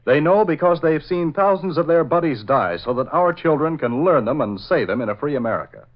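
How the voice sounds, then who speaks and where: A man's voice: on the high side, expressive, fairly quick. A man announcing and narrating in scripted 1940s radio style, with dramatic, emphatic delivery. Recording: old lo-fi radio broadcast.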